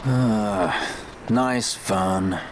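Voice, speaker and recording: An actor playing a suave, confident adventurer in a fantasy game, with a dry, nonchalant delivery. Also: male voice, on the low side, very slow, background noise. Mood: wry, confident. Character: suave, wry male adventurer, casually confident. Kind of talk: scripted fantasy game acting. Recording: music behind the voice.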